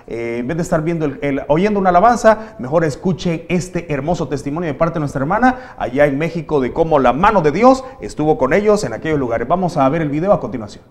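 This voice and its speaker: A middle-aged man anchoring a live, conversational newscast from a TV studio. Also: on the high side. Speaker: news anchor.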